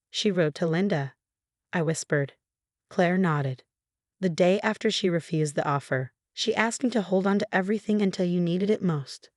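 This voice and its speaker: A woman reading a scripted first-person story as narrator, in a measured, earnest way. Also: lowish voice, no echo, studio quality.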